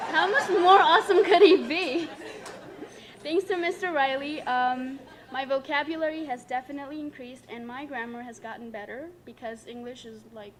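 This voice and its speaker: A woman's voice: sits high, slight background noise. A young woman, giving a prepared tribute speech as a student, giggly and self-conscious between lines. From Nepal. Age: late teens.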